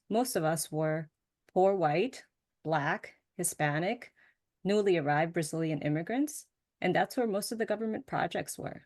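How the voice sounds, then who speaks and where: Low-voiced woman telling her story. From United States. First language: Spanish. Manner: measured storytelling, some fillers. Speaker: speaker giving a talk. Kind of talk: prepared talk with slides.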